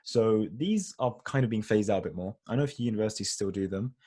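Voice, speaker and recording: A young man from Egypt talks students through interview tips in a loose, informal way, full of "like" and "you know". His voice sits fairly low. He speaks into a computer mic on a video call. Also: fast, mellow. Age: young adult. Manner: chatty and informal, many fillers like "like" and "you know". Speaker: dental student giving an interview webinar. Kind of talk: casual online webinar talk.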